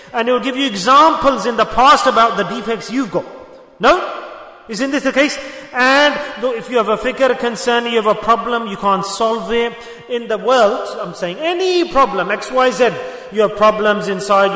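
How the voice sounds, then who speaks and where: A male preacher whose first language is Urdu delivers an exhorting sermon. His voice sits very high and sounds bright and forceful, and he drives points home with rhetorical questions and repetition. The recording carries the long reverb of a large hall.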